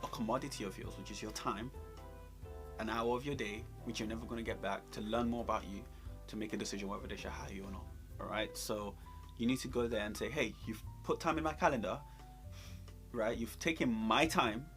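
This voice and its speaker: A man's voice: lowish voice, fairly even intonation, fast, over music. A man co-hosting a career advice talk, coaching conversationally and emphatically, repeating points to drive them home. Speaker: co-host giving job interview advice.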